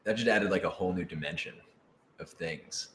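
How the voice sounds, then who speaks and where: A man chatting casually and unscripted on a live stream, playful and exclamatory, often halting mid-thought. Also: sits low, a bit bright. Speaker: radio drama cast member answering fan questions. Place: office, indoors. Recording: echoey room with background noise.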